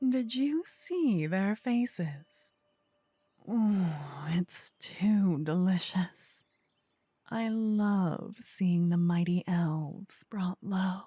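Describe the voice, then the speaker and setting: Woman as a slow, breathy demon queen. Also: mid-range voice, animated, mellow, no echo, studio quality, exaggerated voice. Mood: gloating. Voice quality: breathy, partly whispered. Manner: imperious, savoring, seductive. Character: sinister, gloating, possessive demon queen lover. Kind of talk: breathy ASMR fantasy roleplay acting.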